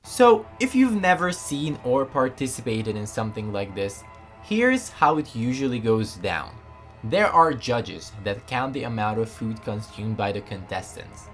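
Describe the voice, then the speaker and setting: A man reading a scripted voiceover narration with lively storytelling delivery. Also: mid-range voice, unhurried, soft background music.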